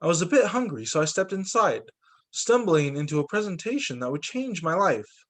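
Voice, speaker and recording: A man reading a children's storybook aloud as a teacher, with expressive narration and acted-out dialogue. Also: on the high side, normal speed. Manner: animated, acts out dialogue for children.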